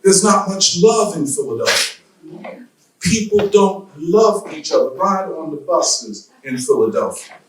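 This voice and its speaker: Slow man preaching in an echoey church. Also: a little high, expressive, slight background noise. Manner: slow deliberate preaching with rhetorical questions. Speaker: guest speaker. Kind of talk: church lecture speech. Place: church hall. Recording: echoey hall from far off.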